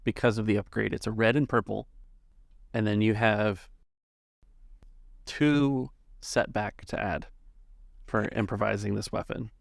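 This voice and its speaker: A man's voice: lowish voice, steady tone, unhurried, gravelly, pauses often, dry recording, studio quality. A man narrating and refereeing a tabletop roleplaying game as its game master.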